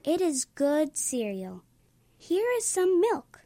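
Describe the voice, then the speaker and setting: A woman reading a storybook aloud and voicing a gentle little girl who mothers her teddy bear, in a soft, coaxing voice pitched above her narration. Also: big pitch swings, slow, dry recording, heavily acted. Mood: soothing. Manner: soft, coaxing, higher and quieter than the narration.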